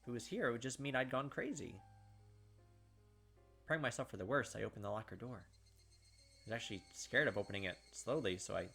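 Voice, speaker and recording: A man's voice: mid-range voice, animated, fairly quick, gravelly, pauses often, pushed and bright, slightly rough. A man hosting a let's play, reading visual novel narration aloud and adding casual commentary. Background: game music.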